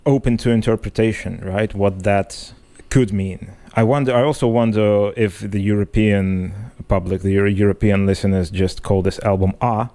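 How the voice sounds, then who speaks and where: A man with a mellow, somewhat low voice who speaks English as a second language. He chats on a podcast in a thoughtful, halting way and often restarts his sentences. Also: steady tone. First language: not English. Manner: thoughtful, hesitant, many restarts. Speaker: podcast co-host. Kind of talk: casual analytic chat.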